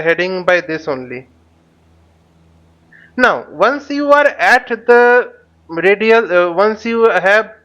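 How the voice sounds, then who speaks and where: A man teaching a flight simulator tutorial, speaking haltingly and often repeating words as he explains. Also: high voice, fairly bright tone, clean tone. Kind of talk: spoken tutorial while flying a simulator. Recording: dull lo-fi microphone sound.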